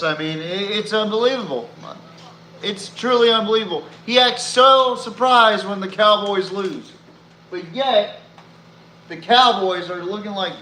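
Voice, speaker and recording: Bright, forceful man ranting about sports. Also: high-pitched. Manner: blunt, profane, exasperated fan ranting. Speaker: sports podcast host. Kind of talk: opinionated sports recap commentary. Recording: roomy echo, faint background noise.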